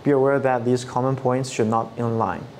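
Flat-toned man explaining software steps. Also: mid-range voice, normal speed, slight background noise. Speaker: product trainer. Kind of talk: scripted tutorial narration. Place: studio set with dark backdrop. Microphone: lapel mic.

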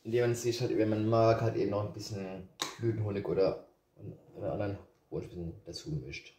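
A man with a regional German dialect, casually talking through a cooking tutorial in his home kitchen, relaxed and rambling with hesitations. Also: fairly low pitch, a little fast, recorded from a distance. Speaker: home cook vlogger. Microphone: camera set on the counter, speaker off to the side. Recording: roomy kitchen, voice away from mic, faint noise.

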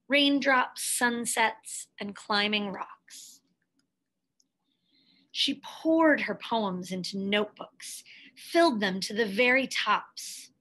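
Female storybook reader, higher-pitched, very measured pace, careful and expressive delivery of scripted narration. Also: rough recording. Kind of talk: scripted picture-book read-aloud.

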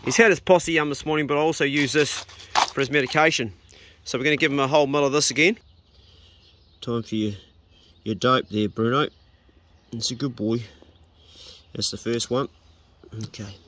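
A man's voice: medium pitch, moderate pace, long pauses, a little hiss or hum. Easygoing New Zealand homesteader man. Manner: relaxed, practical, coaxing the dog with "good boy" and "mate". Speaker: homesteading vlogger. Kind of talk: casual explanatory vlog, talking to his dog. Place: outdoors on a rural homestead and in bush. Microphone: body-worn action camera.

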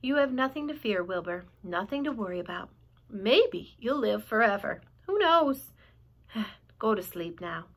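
A woman voicing a wise, gentle female spider who speaks slowly and soothingly. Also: mid-range voice, sing-song.

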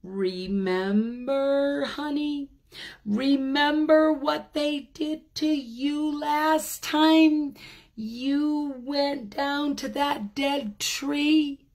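Storybook mama bear played by a woman: high, slow, coaxing and cautioning, sweetly bossy. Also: steady tone, darkish tone, heavily acted. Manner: coaxing, cautioning, drawn-out endearments.

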